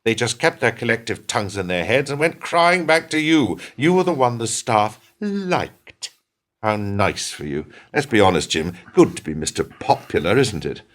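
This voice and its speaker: Actor as scornful boss, wildly swinging pitch. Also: male voice, very animated, moderate pace, lightly acted. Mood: scornful, sarcastic. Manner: scornful, sarcastic, emphatic. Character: hard, proud, bitter male company boss. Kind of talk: radio play acting.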